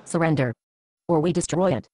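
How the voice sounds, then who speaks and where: A woman voicing a grandiose, coldly logical robot conqueror in an animated comedy, speaking in a formal, declamatory way. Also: low voice, a little fast, heavy background noise, lightly acted. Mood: menacing. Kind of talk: scripted animated comedy dialogue.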